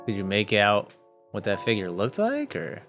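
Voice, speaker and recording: A man's voice: fairly low pitch, huge pitch swings, moderate pace, a bit bright. A man playing a tabletop roleplaying game, improvising in casual, conversational banter. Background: background music.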